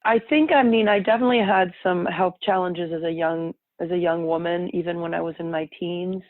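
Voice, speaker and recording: A woman's voice: lowish voice, steady tone, brisk, dry recording. A middle-aged woman whose first language is French tells her own story as a podcast guest in a reflective, rambling way, often correcting herself mid-sentence. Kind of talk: casual personal storytelling. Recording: tinny phone-line sound, dry.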